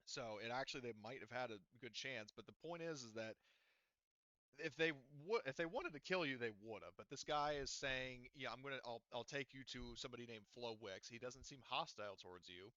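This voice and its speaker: A man's voice: medium pitch, bright, forceful tone, dry recording, muffled recording. A man running a tabletop game as game master, talking casually with lots of restarts and fillers. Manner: halting, many restarts and fillers.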